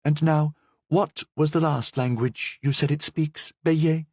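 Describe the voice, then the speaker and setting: A man voicing an excitable young queen who gives commands and cries out with delight in a very high voice. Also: measured pace, breathy edge, no room sound. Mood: commanding. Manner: shrill delighted exclamations.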